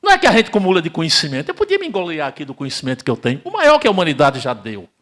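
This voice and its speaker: An older man from Brazil giving an emphatic spiritist lecture, asking rhetorical questions and swinging between loud and hushed delivery. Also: fairly high pitch, wildly swooping pitch, rapid-fire. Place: spiritist center hall, live audience.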